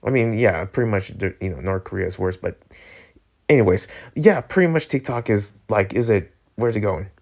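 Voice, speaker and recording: A man hosting a commentary show, giving off-the-cuff opinions in an informal, slangy, halting way. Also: lowish voice, lively intonation. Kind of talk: casual rambling opinion commentary.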